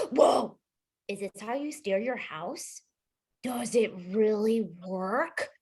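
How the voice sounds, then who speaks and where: A woman voicing an eager, chatty, innocent young boy scout with an earnest, wide-eyed manner in a table read of an animated film script. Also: on the high side, takes it slowly, breathy edge, exaggerated voice. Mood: amazed, curious.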